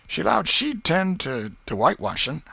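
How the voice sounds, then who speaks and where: A young servant boy from a storybook, acted by a man, timid and obedient. He speaks hesitantly in a folksy Southern US accent. The voice sits high, with a dry, close sound. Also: sing-song, normal speed, creaky, pushed and bright, slightly rough, no echo, full character voice. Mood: reluctant, worried.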